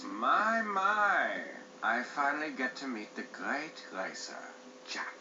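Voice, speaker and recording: A man's voice: mid-range voice, big pitch swings, slow. A man voicing a smug, mock-polite underworld racer with theatrical menace in a video game cutscene. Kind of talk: animated game cutscene villain acting. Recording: dull game audio with music behind.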